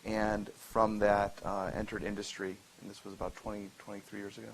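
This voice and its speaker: Low, gravelly, very flat-toned man. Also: brisk, low-quality recording. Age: 40s. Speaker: panelist, industry scientist. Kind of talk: self-introduction at a panel discussion. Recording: faint hiss and noise.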